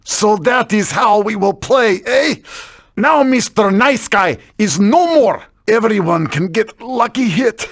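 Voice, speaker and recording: An actress playing a plucky foreign fighter in a video game, speaking in stilted, broken English. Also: female voice, mid-range voice, lively intonation, slow, dry recording, clean studio sound. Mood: defiant. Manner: stilted phrasing with missing or misplaced articles. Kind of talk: video game battle barks.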